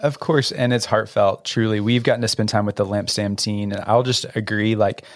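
A man's voice: on the low side, steady tone, normal speed, no room sound. A man with an American accent co-hosting a podcast interview, talking informally and effusively. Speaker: podcast co-host.

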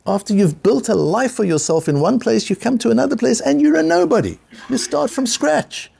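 A man's voice: extremely high pitch, moderate pace, vocal fry, a little mellow, husky. A middle-aged man teaching a Talmud class in an earnest, repeating way, with Hebrew and Yiddish terms in his speech.

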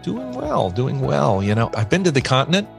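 A man's voice: medium pitch, huge pitch swings, moderate pace, gravelly, faint music behind. A man hosting a podcast interview, asking questions in a friendly, conversational way. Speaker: podcast host.

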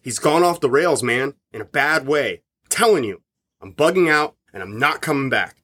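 An actor playing a suspicious, confrontational rock band member in an audio drama, speaking in an insistent, accusing way. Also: male voice, medium pitch, lively intonation, moderate pace, a bit bright. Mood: resolute.

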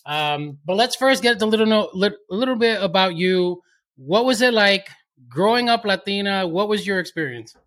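A man's voice: high voice, animated, moderate pace, fairly bright tone. A man hosting a virtual interview, upbeat and casual, on a video call. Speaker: host.

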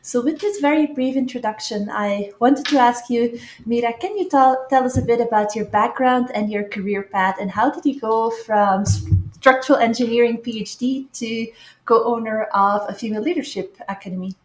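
A woman's voice: on the high side, normal speed. A woman hosting a podcast, introducing a guest and asking friendly questions with small restarts. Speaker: podcast host, professor. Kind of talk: podcast interviewing. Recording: echoey room.

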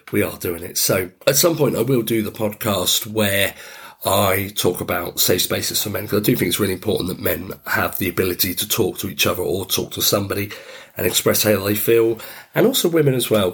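A middle-aged man hosting a podcast in a rambling, easygoing monologue, his voice deep and croaky from a chest cold. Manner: easygoing, rambling, frequent "you know". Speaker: podcast host. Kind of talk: casual reflective monologue.

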